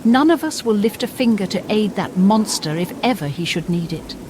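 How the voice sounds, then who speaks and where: A woman performs a damned female spirit from a fantasy game, bitter and solemn. She speaks in formal, deliberate phrases at a medium pitch. Clear noise of rain and thunder sits behind the voice. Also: lively intonation, natural voice. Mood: cold, ominous. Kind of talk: dramatic fantasy game dialogue.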